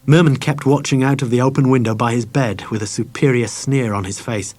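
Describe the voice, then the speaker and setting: A man's voice: mid-range voice, steady tone, brisk, darkish tone. Male storyteller reading a children's novel. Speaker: audiobook narrator. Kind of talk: scripted narration of a children's novel.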